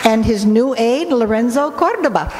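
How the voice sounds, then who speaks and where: A woman's voice: a little high, expressive, moderate pace. A woman giving formal, scripted closing thanks as a stage announcer. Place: auditorium stage, live before audience. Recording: echoey hall, crowd noise.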